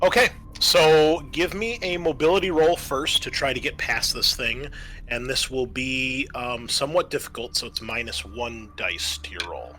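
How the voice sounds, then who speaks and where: A man with a bright, forceful voice is running a tabletop roleplaying game. He narrates off the cuff and explains the options in a casual, conversational way. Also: mid-range voice, expressive, normal speed, slight background noise, rough recording. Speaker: game master.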